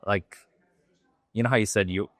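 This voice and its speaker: Podcast guest: Japanese man, learned American accent, very low pitch, chatty, dry sound. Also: fairly even intonation, moderate pace, dry recording. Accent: American, learned from an acting coach. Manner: loose and talkative, fillers and restarts. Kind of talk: podcast interview.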